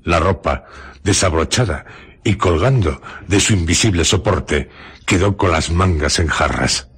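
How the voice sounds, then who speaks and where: A man narrating a radio drama in a measured, literary storytelling style. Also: low-pitched, lively intonation, a bit bright, husky.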